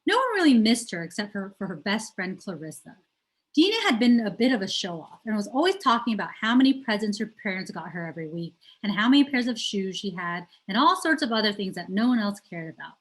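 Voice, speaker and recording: Lady reading a kids chapter book out loud, medium pitch, stresses the important words. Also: big pitch swings, quick pace, low-quality recording. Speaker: teacher reading aloud. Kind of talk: storybook read-aloud of a children's novel.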